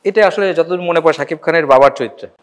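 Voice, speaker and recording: Higher-pitched Bangladeshi male interviewer. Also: fast. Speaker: interviewer, show host. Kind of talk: conversational interviewing.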